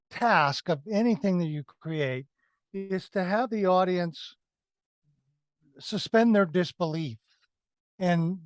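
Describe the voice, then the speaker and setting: A man's voice: on the high side, no echo. A man giving a conversational film critique as a review panelist, emphatic and repeating phrases for effect.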